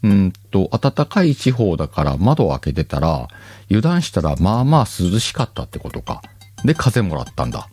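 A man's voice: low-pitched, big pitch swings, moderate pace, dark tone, husky, dry recording, faint music behind. A man, hosting a casual chat show, reading listener letters aloud and chatting about them, often laughing. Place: home recording.

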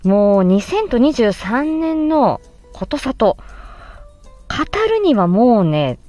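A woman's voice: on the high side, very animated, slow pace, warm tone, no room sound, dull audio, faint music behind. A woman hosting a casual solo audio stream, talking in a chatty, polite, explanatory way. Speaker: host and amateur voice actress.

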